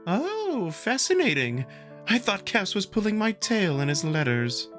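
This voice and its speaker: A man's voice: high-pitched, wildly swooping pitch, measured pace, a bit bright, soft background music, voice pushed up, exaggerated voice. A man voicing a haughty, playful young female wolf noble in a theatrical, sing-song visual novel reading. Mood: intrigued.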